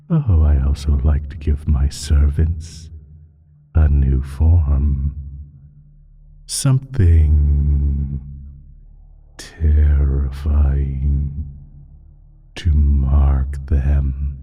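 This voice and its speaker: An ancient evil entity, rumbles extremely low and very slowly, savoring every menacing phrase. The smug, sinister creature toys with mortals in a dramatic audio roleplay, its husky breath slipping toward a whisper. The recording is a little dull. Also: male voice, exaggerated voice. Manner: slow, deliberate, menacing, drawn-out phrasing.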